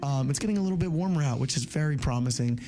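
A man's voice: fairly high pitch, racing, mellow, faint music behind. A man hosting a morning radio show, chatting loosely with lots of fillers. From New Jersey. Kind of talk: casual morning radio banter.

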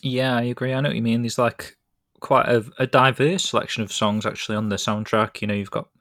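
A man's voice: mid-range voice, little pitch movement, a little fast, fairly bright tone, no room sound, studio quality. A man with a British accent hosting a podcast in a chatty, rambling way and laughing easily. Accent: British English. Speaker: podcast host. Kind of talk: casual podcast chat.

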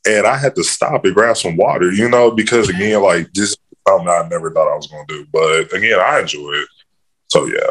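A man with a very low, deep voice talks through his coaching in a relaxed, rambling way. He keeps dropping "you know" between his thoughts as he answers interview questions. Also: a little fast, breathy edge, low-quality recording. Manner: easygoing, fills with "you know". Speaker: first-year girls' basketball coach.

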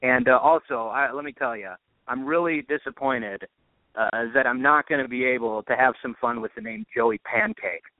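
A man speaks over a narrow, phone-like connection with no room echo. He is a podcast guest with a mid-pitched voice, giving relaxed, conversational sports analysis like an announcer off duty. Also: normal speed. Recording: tinny, band-limited phone-like line.

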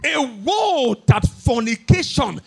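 Man preaching, high voice, swooping pitch, shouted sing-song sermon. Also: sing-song, slow pace, gravelly. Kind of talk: fiery sermon.

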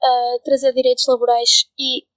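Young woman, European Portuguese accent, agreeable podcast co-host; slightly high voice, dry close recording. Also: flat delivery, moderate pace, fairly bright tone, no room sound. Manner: agreeable, often affirming others, hesitant fillers. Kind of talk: casual podcast discussion.

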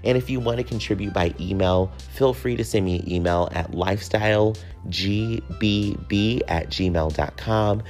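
A man with a deep, low voice hosts a personal podcast. He speaks in a casual, candid way, as if chatting directly with his listeners, and swears freely. Faint music sits behind his voice. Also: moderate pace, few pauses, a little mellow. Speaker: podcast host.

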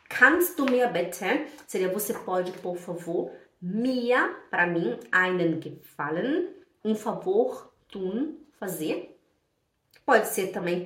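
A woman with a Brazilian accent from Pará giving an informal, chatty language lesson, repeating phrases deliberately and checking in with her listeners. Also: fairly high pitch, sharp tone. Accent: Brazilian Portuguese, from Pará. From Belém do Pará, Brazil. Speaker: German language teacher. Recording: echoey room, distant from mic.

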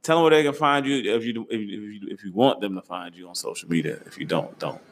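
A young man hosting a casual travel chat, relaxed and slangy, laughing easily. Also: on the low side, quick pace. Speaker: host.

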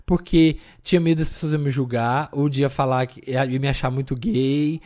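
A man, co-hosting a podcast in animated, chatty conversation full of fillers. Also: mid-range voice, normal speed. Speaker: podcast co-host. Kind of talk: casual chat.

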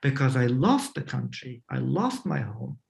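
Slow, halting man. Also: medium pitch, sing-song, vocal fry. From Afghanistan. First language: not English. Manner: halting, many fillers and restarts. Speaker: interview guest. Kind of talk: remote interview answers.

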